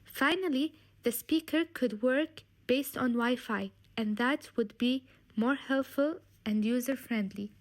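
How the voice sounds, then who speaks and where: A woman's voice: sits high, a little slow. A woman presenting a student project in a formal, scripted explanatory style.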